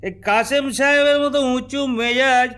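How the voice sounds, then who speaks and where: A fawning crony in an old folk-tale drama. His voice is very high, bright and clear, and his delivery is emphatic and theatrical. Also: steady tone, normal speed. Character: flattering, fawning drinking companion of a rich merchant. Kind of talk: old-fashioned Bengali drama acting.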